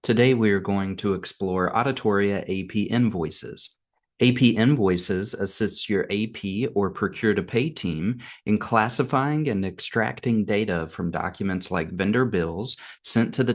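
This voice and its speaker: Low-pitched male product demo narrator. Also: unhurried. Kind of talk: scripted software demo narration.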